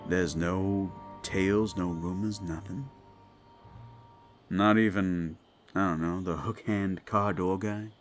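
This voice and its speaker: A man playing a gruff, weary demon investigator of the paranormal, thinking out loud in an improvised audio roleplay. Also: low voice, steady tone, slow. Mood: pensive, probing. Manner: musing, conversational, trailing questions. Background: background music.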